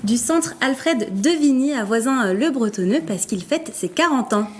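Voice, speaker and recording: Female co-host with a fairly high voice. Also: expressive, normal speed, darkish tone, slight background noise. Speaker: TV talk show co-host. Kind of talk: casual studio chat.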